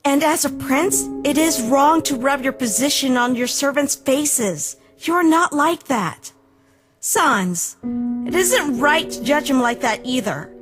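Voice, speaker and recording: A woman voicing a firm, fair-minded young princess in a fan-dubbed comic, earnest and gently reproving. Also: high voice, expressive, measured pace. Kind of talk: fan-dub comic voice acting. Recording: voice over background music.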